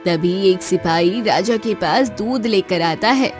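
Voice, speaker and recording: A woman's voice: mid-range voice, takes it slowly, soft background music. A woman working as a narrator, reading a scripted moral story.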